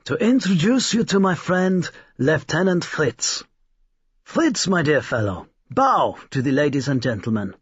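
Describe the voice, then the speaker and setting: Man voicing an eager, high-pitched old toymaker. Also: sing-song, unhurried, slightly rough, full character voice. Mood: proud, ceremonious. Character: eager old German toymaker, jovial and proud showman. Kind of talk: storybook character reading. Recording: roomy, slightly dull recording.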